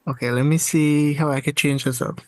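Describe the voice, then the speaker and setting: A man taking part in an online forum, speaking in a plain, unhurried way on a video call. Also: on the high side, steady tone. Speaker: forum participant.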